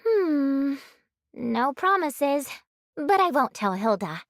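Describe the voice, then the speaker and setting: High, gentle anime companion. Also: female voice, sing-song, slow, no echo, studio quality, full character voice. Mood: gently knowing. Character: gentle, composed young woman, kindly companion. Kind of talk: anime game voice acting.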